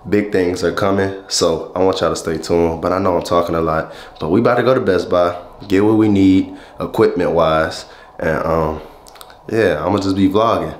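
A man's voice: deep voice, echoey room, slight background noise. Congested young man vlogging to camera. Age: young adult. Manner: laid-back slangy chatter, hyped greetings. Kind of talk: casual vlog to camera. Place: small room indoors, then a big electronics store. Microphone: handheld camera at arm's length.

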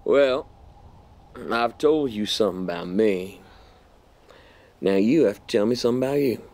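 An actor playing a smug, menacing army general in an audio drama, with an insinuating, mock-polite manner. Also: male voice, fairly low pitch, lively intonation, normal speed, darkish tone. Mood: leering.

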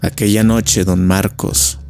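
A man's voice: on the low side, flat delivery, slow pace, a little mellow, soft background music. A man narrating a scripted legend in a storytelling style.

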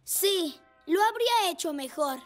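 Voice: very high voice, expressive, measured pace, fairly bright tone, soft background music, exaggerated voice. An actor voicing an eager, earnest young boy motorbike in a children's cartoon, proud but a little insecure. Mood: flustered, boastful. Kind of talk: animated children's cartoon dub.

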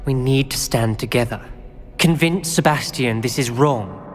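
An actor playing a stubborn, principled teenage wizard in a game cutscene, earnest and clipped. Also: male voice, medium pitch, unhurried, natural voice. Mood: wary. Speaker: game character. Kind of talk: video game cutscene acting. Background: music.

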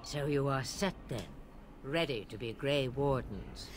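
A woman's voice: rumbling low, lively intonation, unhurried. A woman voicing an imperious, sardonic old witch mother in a fantasy game, dry and commanding. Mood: brisk, commanding. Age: older. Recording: game audio over noisy outdoor ambience.

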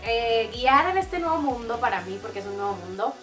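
An eighteen-year-old woman from Cuba answers questions in a casual home video, her voice sitting a little high. She talks in a relaxed, earnest way, giggling now and then. Music plays clearly behind her. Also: fairly quick. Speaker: guest YouTuber. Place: small room at a home, plain backdrop.

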